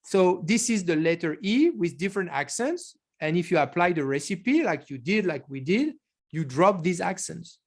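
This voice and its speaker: A man's voice: sits high, sing-song, normal speed. Man giving an online lecture. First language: French. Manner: explanatory, with frequent restarts.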